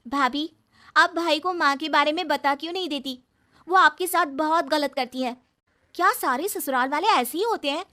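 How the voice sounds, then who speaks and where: Casting: woman narrator as a scared young bride in a cartoon tale, very high, meek, pleading. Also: normal speed, bright, forceful tone. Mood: worried, fearful. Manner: meek, earnest. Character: timid, frightened young bride, pleading and anxious.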